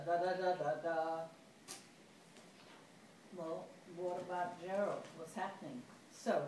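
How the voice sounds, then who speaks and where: Slow, echoey woman reading a picture book. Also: on the low side. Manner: expressive, playful. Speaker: storyteller reading to a child. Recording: echoey room, distant mic, faint noise.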